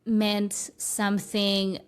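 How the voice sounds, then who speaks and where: A woman's voice: medium pitch, monotone, extremely slow, clean tone. A woman whose first language is Spanish, speaking English as a guest in a conversational interview, thoughtful and often restarting her phrases. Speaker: guest, spiritual teacher.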